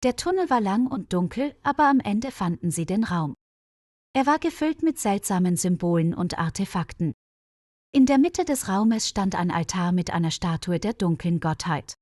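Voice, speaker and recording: A woman's voice: mid-range voice, animated, moderate pace, darkish tone, no echo. A woman reads a scripted mystery tale as a storyteller. The recording is very dry, with no room sound. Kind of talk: scripted narration of a mystery story for German learners.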